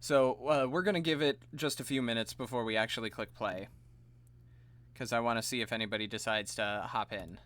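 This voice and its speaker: A man streaming live, chatting casually and laughing at himself, his voice slightly gravelly and tired. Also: medium pitch, a little fast, a bit bright. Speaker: streamer and voice actor.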